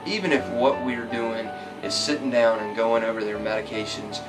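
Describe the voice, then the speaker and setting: A man's voice: mid-range voice, fairly even intonation, moderate pace. A man in his forties, a doctor explaining things in an interview. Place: small office indoors. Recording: distant mic, loud music underneath. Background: guitar music.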